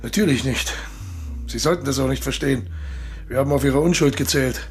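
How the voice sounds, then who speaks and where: An actor in a radio drama playing a suave, cold-blooded traitor colonel, courteous and condescending, with a husky, gravelly voice. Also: male voice, mid-range voice, fairly quick, soft background music, natural voice. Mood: smug, condescending.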